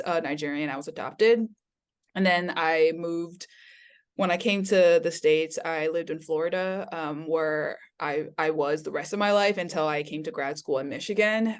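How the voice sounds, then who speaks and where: A woman's voice: low-pitched, clear, resonant tone. A woman in her twenties from Taiwan, a podcast guest talking in a chatty, candid way. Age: 20s. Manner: chatty, candid, laughing easily. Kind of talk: casual interview.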